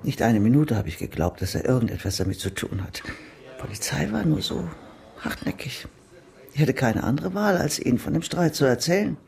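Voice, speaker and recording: A woman's voice: very deep voice, very animated, brisk, vocal fry, a little mellow, exaggerated voice. A woman playing a fussy, sympathetic neighbor in a German radio drama, solicitous and apologetic in manner. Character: fussy, sympathetic middle-class woman neighbor addressing a girl.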